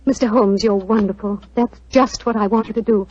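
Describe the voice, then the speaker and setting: Actress as husky, anxious young gentlewoman. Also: mid-range voice, fairly even intonation, a little fast, lightly acted. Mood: earnest, pleading. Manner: earnest, polite, pleading. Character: earnest, anxious young English gentlewoman seeking help. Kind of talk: 1940s radio acting. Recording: muffled 1940s radio broadcast.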